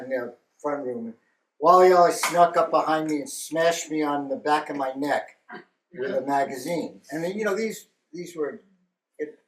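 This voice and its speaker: An older man telling stories slowly and wryly at a memorial gathering, pausing to gather his thoughts. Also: fairly high pitch. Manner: wry, halting, stops to gather thoughts. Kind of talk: slow rambling storytelling. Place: meeting room with a live audience. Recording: echoey room from far off.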